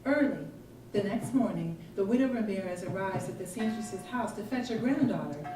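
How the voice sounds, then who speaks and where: A woman reading a literary story aloud in an expressive, deliberate, theatrical manner at a live performance. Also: mid-range voice. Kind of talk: dramatic literary story reading with character voices. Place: performance space, recorded live from a distance. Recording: roomy distant live recording with loud music. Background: live guitar, brass and percussion music.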